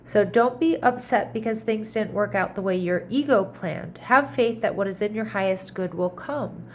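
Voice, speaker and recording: A woman with a mid-pitched, slightly congested voice reads tarot cards in a relaxed, chatty way. She reassures the listener and often restarts her sentences as she thinks out loud. A faint hiss sits behind her voice. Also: normal speed. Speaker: tarot reader. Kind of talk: casual tarot reading to camera.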